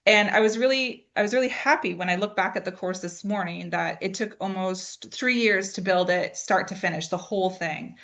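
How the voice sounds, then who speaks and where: A woman's voice: medium pitch, moderate pace, few pauses, a bit bright. A woman speaking as an expert presenter in an informal talk, explaining things with rhetorical questions and conversational check-ins. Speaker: AI expert presenting an online discussion.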